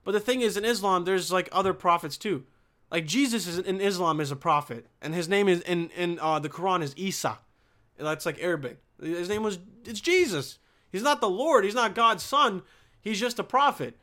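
A man's voice: high-pitched, normal speed, bright, forceful tone. A young man from Bosnia talking in a casual, rambling monologue, swearing often and restarting his sentences. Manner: profane, restarts sentences, slangy.

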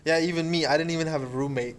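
Young man, halting and hedging speech. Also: fairly high pitch, steady tone, a little hiss or hum. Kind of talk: casual chat.

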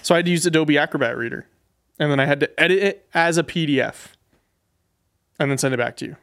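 A man's voice: a little high, quick pace, vocal fry, a bit bright. A man talks casually as a guest on a podcast. His delivery is self-deprecating and a little nervous, with an informal conversational flow. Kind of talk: casual chat.

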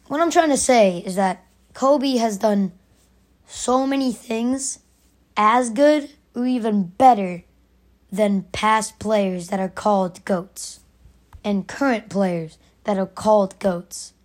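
A raspy young woman hosting her own podcast, arguing her opinion emphatically and repeating her points. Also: medium pitch, slow, darkish tone. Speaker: podcast host. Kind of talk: opinionated solo podcast rant.

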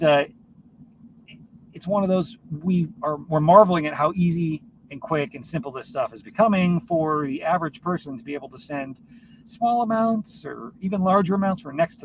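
A man hosting a solo podcast in a casual, rambling style, speaking informally and swearing freely. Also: on the high side. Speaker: podcast host. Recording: noisy, distant microphone.

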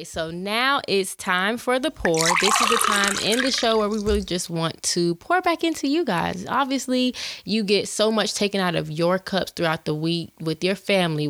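A woman in her twenties hosting a show, talking in a chatty, animated way and calling her listeners y'all. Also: medium pitch, no room sound. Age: 20s. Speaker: podcast host. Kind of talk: casual chat.

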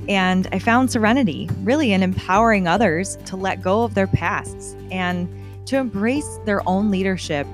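A woman hosting a podcast, upbeat and encouraging as she introduces her guest and talks with him. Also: mid-range voice. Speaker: podcast host. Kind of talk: podcast interview.

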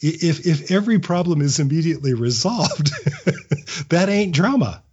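A man's voice: on the high side, very animated, normal speed, a little mellow, husky, muffled recording. A man giving casual, reflective interview answers as a guest writer, often repeating words as he thinks. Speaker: podcast guest, audio drama writer; script writing teacher.

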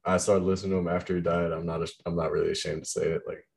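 Young man, very deep voice, casual chat, rambling, lots of "like". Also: flat delivery, racing, creaky, a little mellow. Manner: rambling, heavy use of "like". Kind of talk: casual chat about music.

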